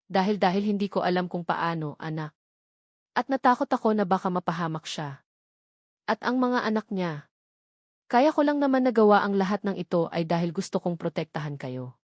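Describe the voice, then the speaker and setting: A woman's voice: on the low side, lively intonation, moderate pace, no echo, studio quality. A Filipino woman narrator voicing an older mother and grandmother, protective, pleading and remorseful, in a dramatic story. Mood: anguished, pleading.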